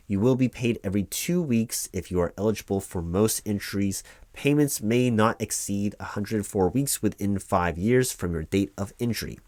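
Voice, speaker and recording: Man playing low-voiced officious company clerk. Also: dry recording, close to an everyday voice. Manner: bureaucratic, hedging, falsely reassuring asides. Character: officious, evasive male company clerk walking an injured employee through forms. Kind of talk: one-sided ASMR roleplay, reading paperwork aloud.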